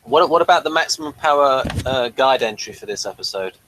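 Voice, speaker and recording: A man's voice: mid-range voice, expressive, fairly quick, fairly bright tone, a little hiss or hum, rough recording. A man co-hosting a casual review chat, often stumbling and repeating words as he starts a thought. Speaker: podcast co-host.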